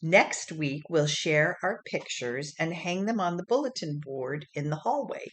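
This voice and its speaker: A woman voicing a kind, patient female primary school teacher in a children's storybook, explaining gently and encouragingly. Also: low-pitched, expressive, measured pace.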